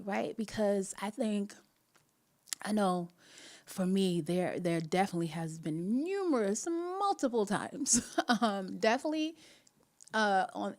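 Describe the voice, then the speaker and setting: A young woman with a Jamaican accent hosting a faith podcast, telling a personal story in a casual, animated way and laughing often. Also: medium pitch. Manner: laughs often, animated, many restarts and asides. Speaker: podcast host.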